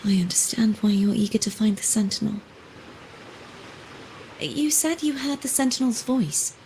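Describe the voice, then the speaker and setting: An actress playing a composed, enigmatic young woman in a video game, speaking in a measured, formal and softly mysterious way. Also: a little high, slight background noise, lightly acted. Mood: soft, pensive. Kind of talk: video game character dialogue.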